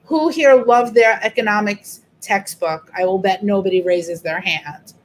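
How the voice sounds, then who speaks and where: A woman's voice: medium pitch, unhurried, slight background noise, rough recording. A middle-aged woman teacher, walking a group through an online workshop in a chatty, explanatory way. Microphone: video call.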